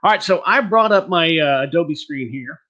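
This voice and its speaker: A man's voice: fairly high pitch, lively intonation, moderate pace, sharp tone, dull audio. Rambling joking male webinar teacher. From Texas. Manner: rambling, joking, self-deprecating. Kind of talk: online teaching presentation.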